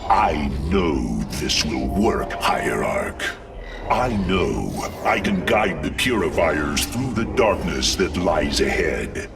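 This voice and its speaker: Game role: fiercely loyal robot warrior. Bottomless gravelly bass, slow, booming and declamatory. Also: male voice, big pitch swings, far from the mic, over music, exaggerated voice. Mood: earnest resolve. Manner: forceful, deliberate, declamatory. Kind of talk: dramatic video game acting.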